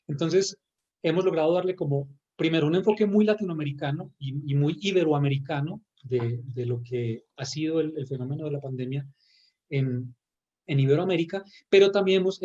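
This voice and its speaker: A man talks through his project on a video call, pausing and restarting often. His voice sits a little high and mellow. The call audio is muffled and dull. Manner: hesitant, many restarts. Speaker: panelist. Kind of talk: online panel talk.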